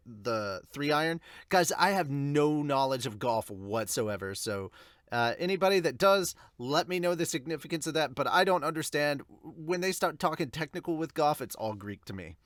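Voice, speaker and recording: A man's voice: fairly high pitch, lively intonation, normal speed, fairly bright tone, no echo, studio quality. A man hosting a manga review podcast, chatting to viewers in an informal, rambling way. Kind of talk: casual chat to viewers.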